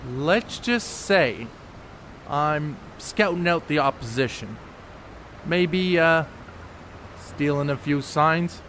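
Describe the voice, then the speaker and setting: An actor playing a brainy, secretive ballplayer who explains things with dry wit in a radio play. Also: male voice, fairly high pitch, animated, slow pace, pauses often, a bit bright, slight background noise. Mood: guarded. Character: brainy, secretive ballplayer, adult man, dryly matter-of-fact.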